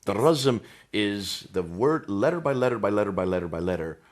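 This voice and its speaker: Deep-voiced American male host who steers the talk with rhetorical questions. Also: lively intonation, normal speed. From United States. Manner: leading with rhetorical tag questions. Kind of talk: conversational discussion.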